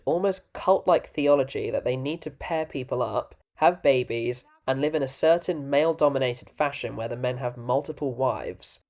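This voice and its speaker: A man's voice: mid-range voice, darkish tone. A man narrating a scripted review, dropping in informal, opinionated and wry asides. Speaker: video essay reviewer and narrator.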